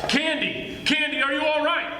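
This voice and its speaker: A man's voice: very high-pitched, level tone, moderate pace, bright, forceful tone, exaggerated voice. A man playing a sardonic, commanding police detective in a 1940s-style radio drama, theatrical and teasing. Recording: echoey live stage performance.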